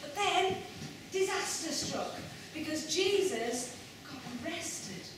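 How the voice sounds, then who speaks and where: A woman's voice: very high voice, lively intonation, slow. A middle-aged woman presenting to children, telling stories and explaining simply and expressively. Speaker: presenter of children's puppet show. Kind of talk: storytelling and chat to children. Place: school hall, standing by puppet stage. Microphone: far camera. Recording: echoey hall, far from mic, noisy.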